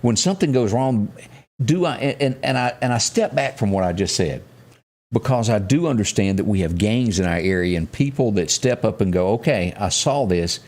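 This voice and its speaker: A man hosting a live local news talk show, speaking in a halting, folksy way and repeating phrases for emphasis. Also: lowish voice, animated, darkish tone. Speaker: morning show host.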